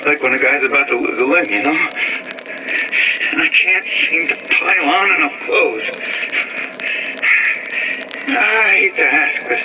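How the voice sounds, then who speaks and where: A nervous henchman, voiced by a man, rambles anxiously in a slightly raised voice. He sounds set back from the mic, and a steady hiss runs beneath the game audio log. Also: on the high side, a little slow, long pauses, natural voice. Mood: worried. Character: worried male henchman with a sickness. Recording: distant, noisy audio log.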